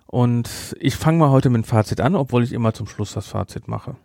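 Man with a gravelly, mellow voice. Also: medium pitch, fairly quick, no echo, clean studio sound. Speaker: podcast host. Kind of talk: casual review chat.

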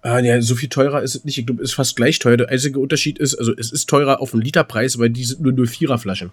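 A man's voice: mid-range voice, steady tone, fast, breathy edge. A man chatting casually as a podcast co-host, in a joking, rambling manner. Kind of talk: casual chat.

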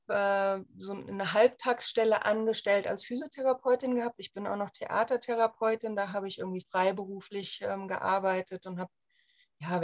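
A woman from Germany in her thirties, chatting casually as an interview guest with lots of fillers. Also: medium pitch. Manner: chatty, many fillers, lively retelling. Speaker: guest, emigrant. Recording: slightly dull lo-fi sound.

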